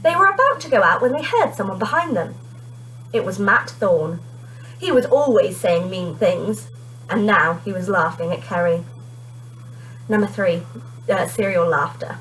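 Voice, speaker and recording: A woman teacher reading a children's storybook aloud in an expressive narrating style. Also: medium pitch, moderate pace, pauses often, a little hiss or hum, rough recording.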